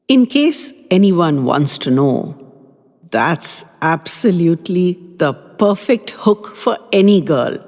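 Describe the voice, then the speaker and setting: A woman reading a first-person love story aloud as a podcast narrator, in an expressive, dramatic way. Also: lowish voice. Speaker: audiobook narrator. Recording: long reverberant hall.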